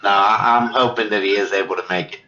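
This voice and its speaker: A middle-aged man chatting casually about fishing as a guest angler, halting and repeating words as he thinks aloud over a remote call. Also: lowish voice, steady tone, bright, forceful tone. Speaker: guest, competitive kayak angler. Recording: dull lo-fi call audio.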